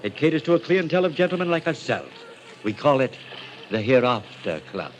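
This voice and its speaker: An actor playing a genteel, eccentric elderly gentleman in an old-time radio drama, speaking in an urbane, theatrical way. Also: medium pitch, big pitch swings, brisk, muffled recording, faint music behind, close to an everyday voice. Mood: urbane, persuasive. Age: older.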